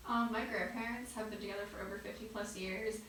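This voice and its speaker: A young woman answers interview questions in a conversational way. Her middle-pitched voice comes from a distance in a very echoey room, with faint noise underneath. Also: steady tone, fast talker, a bit bright.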